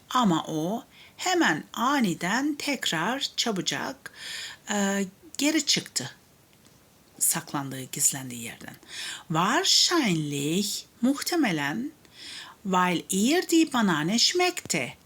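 A woman with a Turkish accent, a native Turkish speaker, reading a children's tale aloud as a language teacher, deliberately explaining and translating it phrase by phrase. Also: medium pitch, fairly bright tone, breathy edge. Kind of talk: read-aloud story with line-by-line translation.

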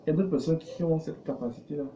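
A man's voice: on the high side, racing. A middle-aged man talking as a coach, explaining conversationally with examples and rhetorical questions. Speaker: business coach and consultant. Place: small indoor studio office. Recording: muffled, dark, noisy room recording.

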